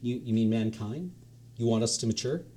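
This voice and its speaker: A man's voice: mid-range voice, normal speed, dark tone, lightly acted. Confused just-died guy in his late 40s, stammery and full of questions, read by a man. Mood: bewildered. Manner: hesitant, questioning. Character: newly dead man, 48, bewildered and curious.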